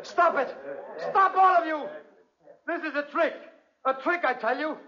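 An actor playing a scheming, guilty man, loud, insistent and defensive, in a 1940s radio drama. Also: very high-pitched, a little slow, crowd noise. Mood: frantic, defensive. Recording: muffled 1940s radio recording.

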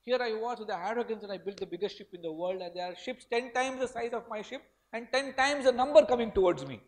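A man's voice: high-pitched, fast talker, lightly acted. An Indian man voicing an old European sea captain who recalls his voyage with nervous wonder. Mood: awed. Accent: Indian English.

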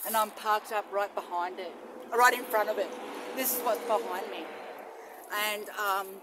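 A middle-aged woman chatting casually to a phone camera outdoors in a rambling, gently enthusiastic way, with exclamations. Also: mid-range voice, normal speed. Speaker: vlogger, spiritual practitioner. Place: outdoors in bush and a shallow rock cave. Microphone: phone on selfie stick with attached microphone. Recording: noisy outdoor phone recording, traffic ambience.

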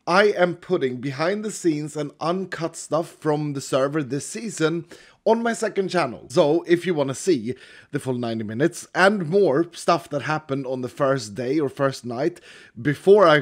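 A man chatting and joking with friends on a voice call while playing, laughing often. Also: a little high. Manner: giggly, jokey, laughs through lines. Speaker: Minecraft server player and video host. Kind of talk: casual gaming chat with friends.

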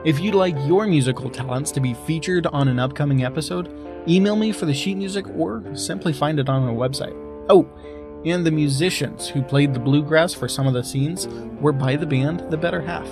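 A man's voice: on the high side, brisk. A man hosting a podcast, reading a scripted outro of credits and thanks. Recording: plucked guitar music clearly behind.

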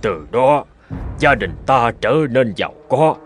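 An old fisherman father from a cartoon fairy tale. He is burly, anxious and full of regret, and his pitch moves around a lot. Music plays along with the voice. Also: expressive, slow pace. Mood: hesitant. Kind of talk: animated fairy-tale dialogue.

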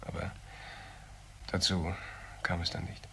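An actor playing an earnest, defensive young ex-convict in a crime radio drama, explaining himself haltingly. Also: male voice, deep voice, completely flat delivery, gravelly, pushed and bright, slight background noise, exaggerated voice. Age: young adult. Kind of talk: naturalistic German crime radio drama acting.

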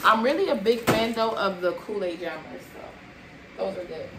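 A woman's voice: medium pitch, lively intonation, normal speed. A young woman chatting casually to her viewers while unpacking groceries in a small apartment kitchen, filmed by a camera across the room and sounding a bit tired. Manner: chatty, addresses viewers as y'all, moving around while unpacking bags. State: tired and sweaty. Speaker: vlogger showing a grocery haul. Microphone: camera at a distance across the room. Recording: echoey kitchen with background noise.

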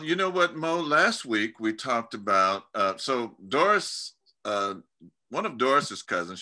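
Bright-toned middle-aged man hosting a video call. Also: on the low side. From California. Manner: relaxed, rambling, many restarts and fillers. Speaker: host of church community video-call talk. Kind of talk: casual interview chat. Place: home study lined with bookshelves. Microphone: video call webcam.

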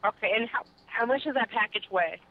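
A woman's voice: a little high, brisk. Woman customer service agent on a phone line. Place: on the other end of a phone call. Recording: muffled narrowband phone line.

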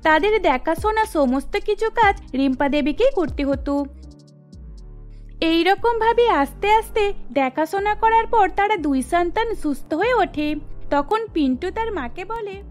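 A woman narrates a scripted cartoon story. Her voice is strikingly high-pitched. Music plays behind the narration. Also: animated, measured pace.

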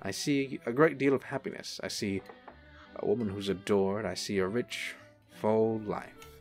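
An actor playing a suave, teasing angel in human form, smooth and courtly in a radio-style drama. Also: male voice, on the low side, unhurried, darkish tone, soft background music, close to an everyday voice. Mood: charming, tender.

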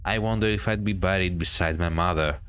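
Man voicing a bitter, deep-toned ghost girl. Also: brisk, a bit bright, a little hiss or hum. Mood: brooding. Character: vain, bitter ghost of a hanged young girl. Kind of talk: visual novel horror read-aloud.

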